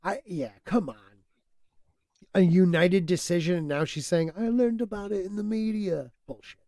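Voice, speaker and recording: A man hosting a casual podcast, stuffed up and losing his voice, talking in an animated, opinionated way and laughing often. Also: high voice, normal speed, dry recording. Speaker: podcast host.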